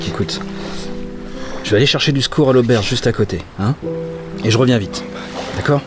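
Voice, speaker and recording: A man acts out a weary dockworker in a fantasy radio play. His middle-pitched voice is anxious and halting, often repeating itself as he mutters. Music plays clearly underneath. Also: lightly acted. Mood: alarmed, concerned. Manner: hesitant, stammering, muttering to himself. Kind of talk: audio drama acting.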